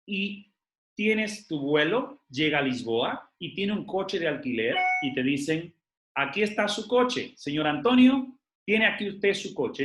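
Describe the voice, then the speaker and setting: Animated man in echoey room. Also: a little high. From Spain. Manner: animated, rhetorical questions, frequent fillers. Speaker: network marketing team leader. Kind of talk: motivational leadership talk to a group.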